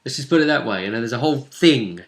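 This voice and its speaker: A man's voice: mid-range voice, expressive, quick pace. A British man speaking as game master, casually recapping a tabletop role-playing session and dropping teasing hints.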